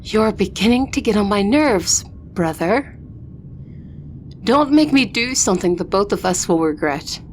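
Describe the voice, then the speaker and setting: A woman's voice: medium pitch, expressive, slight background noise, natural voice. A woman playing an arrogant, ruthless vampire princess in an audio roleplay, speaking in a haughty, threatening way. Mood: cold, threatening. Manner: haughty, threatening, slow deliberate delivery. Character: arrogant vampire princess, ruthless eldest sister, war-hungry.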